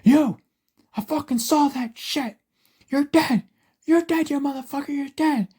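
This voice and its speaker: A man voicing frantic, angry prisoners who shout urgently and repeat themselves in an improvised fan story. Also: very high voice, a little slow, voice pushed up. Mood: furious.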